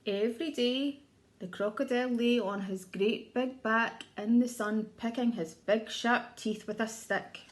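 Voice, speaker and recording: A woman with a high-pitched voice reads a picture book to young children. Her delivery is expressive storytelling, in the manner of an early years teacher who draws listeners in with questions. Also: a little slow. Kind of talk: children's storybook read-aloud.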